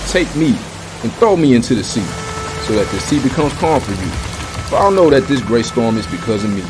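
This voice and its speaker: A man's voice: mid-range voice, big pitch swings, brisk, music in the background, lightly acted, low-quality recording. A man playing a solemn, devout Hebrew prophet in a dramatized scripture reading, declarative and prayerful. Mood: resigned.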